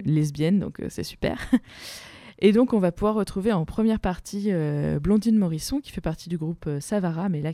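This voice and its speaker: Woman, gravelly, dark tone, hesitant, lots of fillers, dry close mic. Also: low voice, dry recording. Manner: hesitant, many fillers and restarts, laughs often. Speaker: musician and playwright. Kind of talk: casual interview answers.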